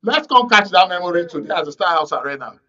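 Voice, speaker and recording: A Nigerian man with a notably high voice hosts a live talk show. He speaks to guests and callers in a studio, and the sound is muffled and somewhat distant from the mic. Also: expressive, fast, pushed and bright. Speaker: radio talk show host. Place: radio studio. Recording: distant, dull, muffled broadcast audio.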